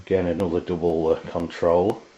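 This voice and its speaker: A man with an English accent giving an informal, unscripted hands-on product demonstration, halting and often pausing mid-sentence. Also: low-pitched, little pitch movement. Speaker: product reviewer. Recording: roomy, dull lo-fi camera sound.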